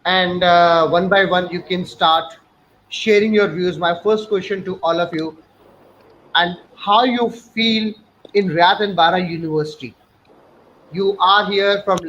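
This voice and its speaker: A man hosting a university webinar, speaking formally with halting restarts and fillers. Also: sits high, fairly bright tone. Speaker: webinar host, university director. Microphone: video call. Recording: dull lo-fi call audio with faint noise.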